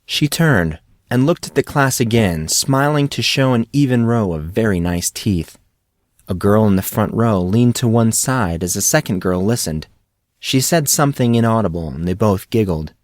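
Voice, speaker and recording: A man's voice: fairly low pitch, animated, normal speed, dry recording, studio quality. A man reading a scripted fantasy story as an audiobook narrator.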